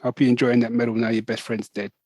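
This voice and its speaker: A man's voice: mid-range voice, fast. A man co-hosting a podcast, chatting casually and acting out scenes with lots of laughter. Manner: animated, laughs often, acts out scenes in quoted voices. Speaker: podcast co-host. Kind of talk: casual chat.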